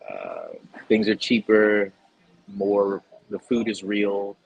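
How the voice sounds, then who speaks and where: Rambling, laughing man with a lowish voice. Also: wildly swooping pitch, slow pace, gravelly, husky, highs cut off. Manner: rambling, self-interrupting, laughs often. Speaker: host interviewing a stranger. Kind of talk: casual street conversation. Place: outdoors at the beach.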